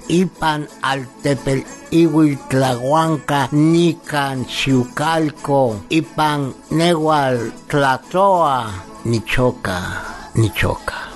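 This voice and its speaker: A man from Cholula, Mexico, reciting verses in a slow, solemn, chanting way as a keeper of a dance tradition. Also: mid-range voice.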